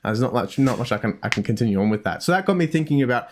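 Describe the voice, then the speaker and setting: A man's voice: medium pitch, animated, very fast, a little hiss or hum. A young man with an Australian accent, chatting casually on a health podcast, rambling with self-deprecating asides and frequent restarts. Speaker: dietitian podcast host.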